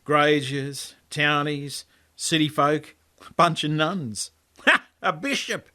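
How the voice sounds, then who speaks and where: A man's voice: on the high side, takes it slowly, sharp tone. An Australian man reading his own story as a colloquial, laconic first-person yarn. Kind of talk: storytelling monologue, first-person character narration.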